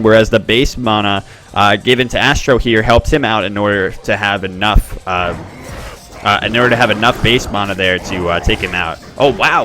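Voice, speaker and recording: A man hosting and commenting on a game tournament in a chatty, excitable way. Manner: chatty, excitable, bursts up in pitch at plays. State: expects to lose his voice.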